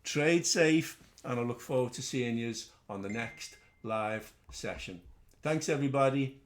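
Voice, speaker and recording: A man's voice: medium pitch, a little slow. A middle-aged man with a Liverpool accent, hosting a casual trading webinar in a chatty, rambling way with self-deprecating asides. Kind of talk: casual live webinar teaching.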